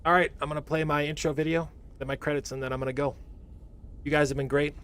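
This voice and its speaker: A man's voice: fairly high pitch, little pitch movement, fast, a bit bright, clean tone. A man streaming live, chatting casually in a laid-back, rambling way with slang, his voice a little worn. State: voice getting worn. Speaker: streamer reacting to a game presentation. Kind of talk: casual livestream chat.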